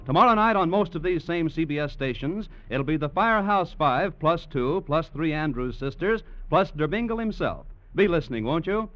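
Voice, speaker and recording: Higher-voiced vintage American radio announcer man. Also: animated, normal speed, hardly any pauses. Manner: polished, formal delivery. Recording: muffled, dry vintage radio recording.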